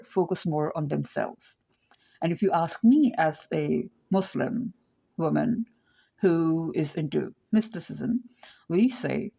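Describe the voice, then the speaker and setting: A woman's voice: deep voice, creaky, mellow. A woman teaching her framework in an informal lecture, meandering and thinking aloud, often checking in with "right?".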